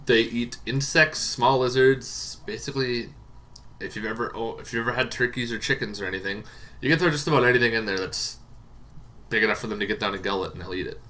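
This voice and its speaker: A man's voice: mid-range voice, fairly quick, bright, forceful tone. A man giving an informal, conversational explainer on tabletop game monsters, wandering into asides as he goes. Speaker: tabletop game lore presenter.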